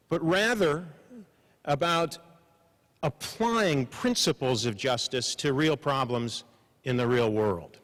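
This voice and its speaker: A man's voice: mid-range voice, a bit bright. Man moderating in a reverberant hall. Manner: measured, slows for emphasis, frequent uh fillers. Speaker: panel moderator. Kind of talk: formal introduction with light humor.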